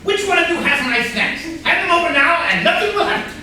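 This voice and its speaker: A woman's voice: very high-pitched, expressive, a little fast, pushed and bright, heavily acted. A woman playing an indignant, demanding, haughty murder suspect in a staged comedy reading. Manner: imperious, haughty. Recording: very echoey room, distant mic, faint noise.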